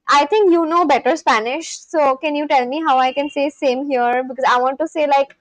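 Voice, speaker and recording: Chatty young Indian woman, high voice. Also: steady tone, moderate pace, no echo, poor audio. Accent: Indian English. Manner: chatty, rambling, many fillers and restarts. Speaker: livestream host. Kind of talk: casual live-stream chat.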